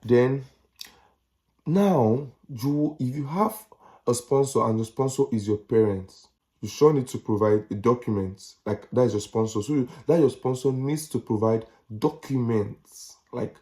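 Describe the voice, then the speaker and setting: A man's voice: mid-range voice, measured pace, warm tone. A young Nigerian man with a Nigerian accent, giving casual advice in a chatty, repetitive way with slangy asides. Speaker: vlogger.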